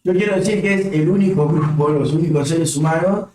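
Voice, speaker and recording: A jokey Argentine man guesting on a home stream, chatty and quick with remarks. His tone is mellow and darkish, and the room adds a noticeable echo. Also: fairly high pitch, distant mic. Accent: Argentine Spanish. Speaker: guest co-host. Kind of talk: casual stream chat.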